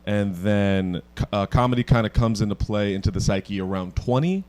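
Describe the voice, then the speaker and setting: A man's voice: lowish voice, a little mellow. A man hosting a podcast interview, asking casual questions in an encouraging, chatty way. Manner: encouraging, quick to laugh, chatty. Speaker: podcast host.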